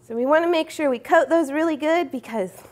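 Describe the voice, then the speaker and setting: A woman's voice: high voice, a little fast. A young woman hosting a cooking show, explaining each step in a friendly way in a studio kitchen. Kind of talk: cooking demonstration. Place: home-style studio kitchen.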